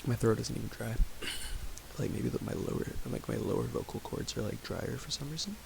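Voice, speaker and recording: A young man talking quietly in a raspy voice, rambling with fillers as he thinks aloud while making music. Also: mid-range voice, fairly quick. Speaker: music producer streaming. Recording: home recording with audible hiss.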